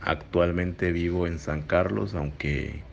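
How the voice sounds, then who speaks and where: A man's voice: sits low, slow, creaky. A man in his thirties with a Costa Rican accent, introducing himself and answering interview questions. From San José, Costa Rica. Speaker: school counselor, interviewee. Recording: muffled, noisy recording.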